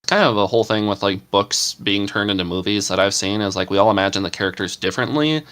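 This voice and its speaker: A man's voice: on the low side, fairly bright tone. A man on a podcast panel who writes ASMR scripts, talking in an easygoing, agreeable way. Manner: easygoing, quick to agree, chatty. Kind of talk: casual chat.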